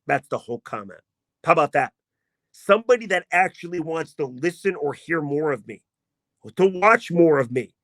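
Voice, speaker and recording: A man hosting a sports podcast, talking in a casual, emphatic and self-mocking way, with a voice he himself calls not deep. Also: animated, normal speed, a bit bright, no echo, studio quality. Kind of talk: casual sports commentary monologue.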